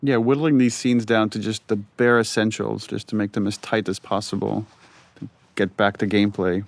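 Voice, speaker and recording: A man with a deep voice and a flat, steady melody. He talks through scenes as a director would, conversationally, pausing and rephrasing as he thinks. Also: gravelly, no echo. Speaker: game director giving commentary. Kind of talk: director's commentary, casual conversational.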